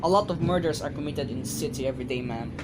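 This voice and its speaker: A woman's voice: a bass voice, quick pace. A woman playing a weary, dismissive police desk sergeant in an amateur radio drama, brusque and patronizing. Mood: weary explaining. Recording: faint background noise.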